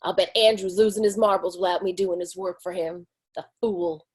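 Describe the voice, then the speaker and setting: Man doing a spiteful, husky saloon woman. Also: high voice, expressive, moderate pace, pitched above the performer's own voice, exaggerated voice. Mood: scornful. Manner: snapping, contemptuous. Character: bitter, scheming saloon-owner woman.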